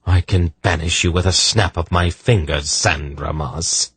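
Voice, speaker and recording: A man's voice: low-pitched, steady tone, measured pace, a bit bright, slight background noise. A man voicing a stern sorceress in a fantasy audiobook, cold, imperious and sure of her power. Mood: scornful, triumphant.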